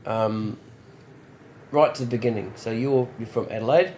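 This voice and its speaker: A man hosting a podcast in a mid-pitched voice. He keeps things casual, nods along with plenty of yeahs and fills his pauses with um. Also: mellow, slight background noise, poor audio. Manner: affirming, lots of yeahs and ums. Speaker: podcast host. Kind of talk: casual interview chat.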